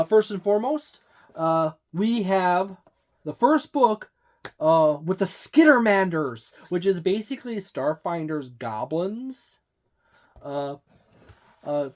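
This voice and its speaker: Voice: medium pitch, a little mellow. A tabletop game reviewer giving a book overview, hesitant, with frequent fillers and restarts.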